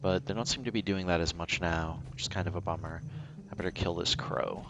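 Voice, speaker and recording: A man's voice: low-pitched, fairly even intonation, a little fast, noisy background. A man giving casual live gameplay commentary as a streamer, offhand and dryly self-mocking. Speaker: game livestreamer. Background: game music.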